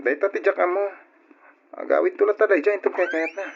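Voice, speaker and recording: Boyish, high-pitched Filipino son, muffled. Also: male voice, a little fast, vocal fry, slight background noise. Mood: eager, respectful. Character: dutiful young student son. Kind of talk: old radio drama acting. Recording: muffled old radio drama recording.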